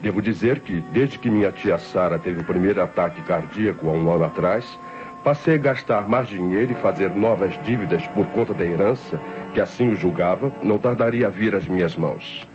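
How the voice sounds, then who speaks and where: A low-pitched Brazilian man played by an actor confesses as a self-justifying, idle schemer. His delivery is formal and deliberate old radio drama, on a muffled vintage recording with music underneath. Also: natural voice. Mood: cold, self-justifying. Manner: formal, deliberate, theatrical diction. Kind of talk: 1960s radio drama narration.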